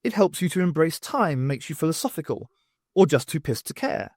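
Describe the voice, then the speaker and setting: A man narrates an audiobook in a fairly high-pitched voice. His delivery is very lively and varied in pitch, reading scripted prose as a storyteller. The sound is close and dry. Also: fairly quick, no echo.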